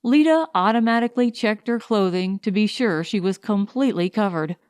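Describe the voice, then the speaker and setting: A woman's voice: medium pitch, lively intonation, fairly quick, darkish tone, smooth, no echo, studio quality. A woman reading scripted audiobook narration of a pulp science fiction story.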